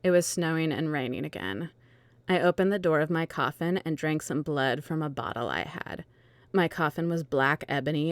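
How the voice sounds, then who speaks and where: A woman's voice: sits low, no room sound. A woman reading fan fiction dramatically as a melodramatic, self-absorbed teenage goth vampire witch. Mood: matter-of-fact.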